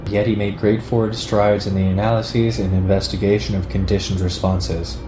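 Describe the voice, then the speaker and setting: Low male narrator, measured political lecture read from text, roomy sound with noise behind. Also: little pitch movement. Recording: echoey room with clear background noise.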